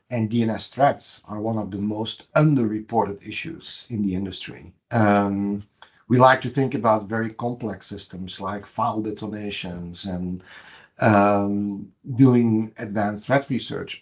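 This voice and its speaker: A middle-aged man from Belgium with a Flemish accent, a guest expert on a podcast, explaining step by step with frequent fillers and restarts. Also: medium pitch. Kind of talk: podcast interview.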